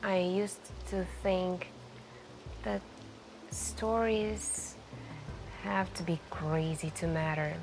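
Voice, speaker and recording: A woman playing a mysterious, charming stranger in a café, speaking softly and intimately in an ASMR roleplay with musing pauses. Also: fairly low pitch, fairly even intonation, low-quality recording. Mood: reflective, inviting. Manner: slow, musing, gently flirtatious, pausing between phrases. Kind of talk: soft-spoken ASMR roleplay, intimate storytelling. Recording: voice over music and falling rain. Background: music and rain.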